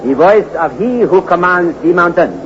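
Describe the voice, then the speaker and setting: A superstitious Mexican mountain guide. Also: male voice, high-pitched, expressive, moderate pace. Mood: wary. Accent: put-on Mexican Spanish. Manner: broken English, wary, ominous. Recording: dull old radio recording with noise.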